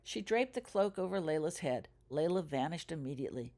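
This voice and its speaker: A woman with a low-pitched voice reads fiction aloud. She is the author of the story, delivering it as scripted audiobook narration. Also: animated, fairly quick, warm tone. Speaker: author reading their own fan fiction aloud.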